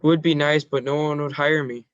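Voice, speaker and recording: A cautious, loyal young teen boy voiced by a man at a table read. The voice is fairly high, with a dry, muffled lo-fi sound. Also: fairly even intonation, moderate pace, dry recording, lightly acted. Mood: friendly. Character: cautious, loyal fourteen-year-old boy, Gerald.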